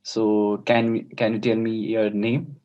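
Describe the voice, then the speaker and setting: Low-voiced hesitant examiner man. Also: completely flat delivery, slow. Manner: hesitant, repeats and restarts questions. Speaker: mock examiner. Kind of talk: interview questions. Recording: dull lo-fi call audio.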